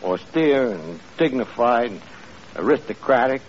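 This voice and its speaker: An actor playing a brash, blustering New York tavern manager with slangy, ungrammatical speech and highbrow pretensions, in old-time radio comedy style. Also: male voice, expressive, unhurried, lightly acted. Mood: pompous, slangy. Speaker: comedy show lead. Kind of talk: 1940s-50s radio comedy acting. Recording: muffled vintage radio recording with noisy studio audience.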